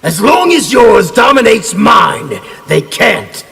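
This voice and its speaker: A man voicing a fierce, defiant, loyal soldier in a video game, forceful and accusing. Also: high voice, sing-song, slow pace, vocal fry, long reverb tail, faint music behind, exaggerated voice. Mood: hostile, defiant. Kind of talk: video game character dialogue.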